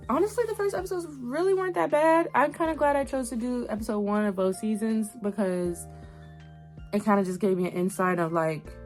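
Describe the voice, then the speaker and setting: A young woman hosts a casual commentary recap. Her delivery is animated and slangy, with emphatic repeats and an average-pitched voice. Music plays clearly behind her. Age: young adult. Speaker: YouTube host reviewing an animated series. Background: background music.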